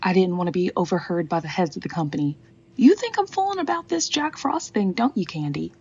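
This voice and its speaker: A woman's voice: fairly low pitch, animated, fairly quick, warm tone, breathy edge, lo-fi sound. A woman playing an earnest, fretful department store manager in a 1940s radio acting style. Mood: worried, pleading.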